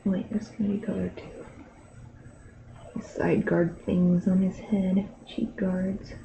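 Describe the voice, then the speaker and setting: A woman's voice: medium pitch, little pitch movement, takes it slowly, vocal fry, dark tone. A woman live-streaming her digital painting from her bedroom, chatting casually and talking to herself as she works. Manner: chatty, laughs often, talks to herself while working. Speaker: digital artist livestreaming. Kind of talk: casual chat while drawing. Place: bedroom at home. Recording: dull lo-fi stream audio with faint hiss.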